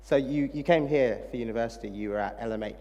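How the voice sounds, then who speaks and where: A male host questions his guest at speed with a teasing, jokey manner. His voice sits at a middle pitch. It trails off into the long reverb of a large hall. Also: quick pace, warm tone. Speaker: interviewer, host. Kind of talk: interviewing a guest at a student event.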